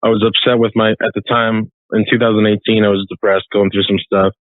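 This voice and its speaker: A man who works as a psychic, talking earnestly and reflectively in a casual podcast chat, laughing softly now and then. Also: on the low side, flat delivery, brisk, no echo. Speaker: psychic guest. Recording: dry phone-like call line.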